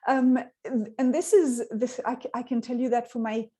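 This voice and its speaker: A woman's voice: on the high side, fairly even intonation, normal speed, dark tone. A woman scientist whose first language is Swedish answers audience questions in a thoughtful way, with many restarts and fillers. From Sweden. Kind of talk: online Q&A answer.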